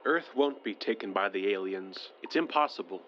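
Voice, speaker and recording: A man's voice: on the low side, animated, normal speed, natural voice. An actor playing a shaken but stubbornly hopeful male survivor in an audio drama, urgent and blunt. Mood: urgent resolve. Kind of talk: modern audio drama acting. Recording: muffled, noisy handheld field recorder.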